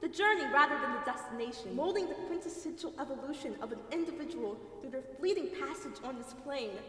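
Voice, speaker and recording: A woman's voice: high voice, expressive, fast talker, fairly bright tone. A woman playing a grave, philosophical demon familiar who speaks in formal, archaic phrases in an audio drama. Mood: gently reproachful. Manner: formal, lofty, archaic wording, weary sighs. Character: grave, philosophical demon familiar in human guise, yearning to learn art. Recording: distant voice in long echoing reverb.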